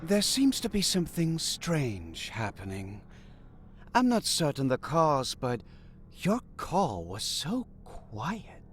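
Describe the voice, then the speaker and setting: Slow, swooping god of deception. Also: male voice, on the high side, wildly swooping pitch, a little hiss or hum, exaggerated voice. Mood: courteous, puzzled. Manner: measured, formal, cryptic. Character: smooth, enigmatic male god of deception, unhurried and knowing. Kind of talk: scripted fantasy audio drama acting. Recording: music bed under the voice.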